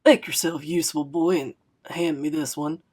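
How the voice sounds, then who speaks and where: A woman voicing a gruff, curt, big-bellied older male bandleader in a storybook. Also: sits low, steady tone, normal speed, darkish tone, pitched below the performer's own voice. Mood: gruff, bossy.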